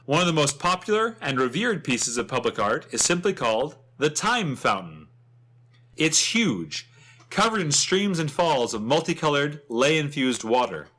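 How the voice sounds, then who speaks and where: A man's voice: on the high side, very animated, unhurried, fairly bright tone, full character voice. A man playing a chatty, wryly humorous travel writer, narrating a fantasy guidebook with theatrical expression. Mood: enthusiastic, descriptive.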